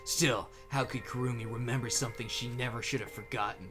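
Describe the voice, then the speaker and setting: A man's voice: mid-range voice, steady tone, brisk, close to an everyday voice. A man playing an earnest, thoughtful young student detective in an anime game fandub. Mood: earnest, reasoning. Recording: faint music behind.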